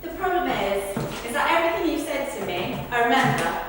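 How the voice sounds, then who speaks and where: A woman's voice: sits high, big pitch swings, brisk. A woman performing a conversational verbatim theatre monologue, recorded from a distance in an echoey rehearsal room. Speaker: stage performer. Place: large reverberant indoor rehearsal room. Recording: distant, echoey hall with background noise.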